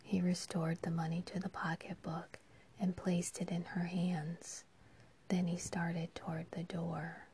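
A woman narrating a story, reading the whole time in a soft, hushed whisper. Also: on the low side, flat delivery, a little slow, a little hiss or hum.

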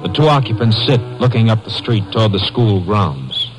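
A man's voice: on the low side, very animated, moderate pace, noisy background. A man with an American accent narrating a scene for a 1950s radio crime drama. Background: music.

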